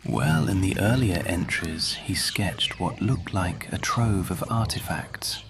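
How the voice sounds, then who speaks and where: An actor playing a scheming, cultured, centuries-old lord in a fantasy audio roleplay, measured and condescending, often slipping into a breathy whisper. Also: male voice, sits low, very noisy. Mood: hushed, intent. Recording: music behind the voice.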